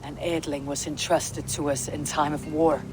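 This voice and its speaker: A woman's voice: sits low, little pitch movement, moderate pace, background noise, natural voice. A woman playing a grim, steely Viking warrior who can be tender, in a video game. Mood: grim, resolute.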